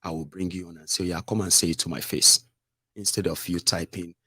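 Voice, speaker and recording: A man's voice: sits low, fairly even intonation, a little fast, pushed and bright, breathy edge, dry recording. A middle-aged Nigerian man giving combative, mocking political commentary with rhetorical asides. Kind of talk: opinionated solo commentary.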